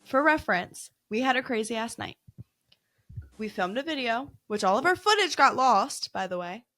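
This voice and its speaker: A woman with a loud voice, co-hosting a podcast and telling a ghost-hunt story in a lively, laughing way. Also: a little high, moderate pace, dry recording. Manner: animated, laughing storyteller who acts out quotes. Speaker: podcast co-host. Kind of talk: casual storytelling chat.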